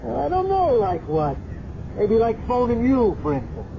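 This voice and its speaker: A man's voice: huge pitch swings, measured pace, creaky, full character voice. An American actor playing a skeptical, hard-bitten policeman in a 1970s radio drama, arguing bluntly with a colleague. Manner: blunt, argumentative. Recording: muffled, rumbly noisy 1970s radio recording.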